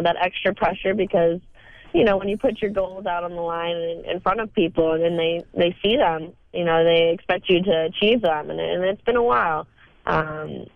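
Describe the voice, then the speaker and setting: Hoarse young woman on a phone line. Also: on the low side, dry recording. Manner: halting, many fillers and restarts. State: hoarse with a cold. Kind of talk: casual interview answers.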